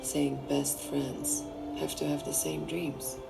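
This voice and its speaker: A man voicing a cool, laid-back tough woman street racer who talks in a calm, reassuring way for a storybook. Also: fairly high pitch, slow pace, vocal fry, loud music behind the voice, voice pushed up, full character voice, rough recording.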